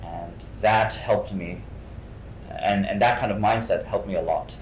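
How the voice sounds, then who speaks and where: A young man from Hong Kong talks casually as a guest in an interview. His voice sits on the low side, and he often stumbles, repeating words before carrying on. The room is echoey, with a faint noise underneath. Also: a little slow. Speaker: guest actor. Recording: roomy sound with faint noise.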